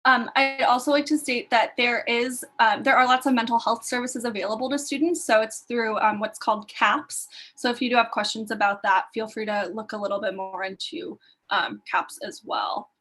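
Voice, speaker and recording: A woman moderates a panel in a polite, facilitating way, reading out questions and inviting the panelists to answer. Her voice sits somewhat high. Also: steady tone, normal speed, sharp tone.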